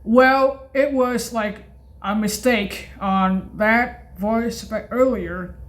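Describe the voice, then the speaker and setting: A woman presenting an informal keyboard sound demo in a halting, repetitive way, often correcting herself. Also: on the high side. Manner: halting, repetitive, frequently self-correcting and apologizing. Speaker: keyboard demonstrator presenting organ voice effects. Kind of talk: informal music demo, announcing preset names.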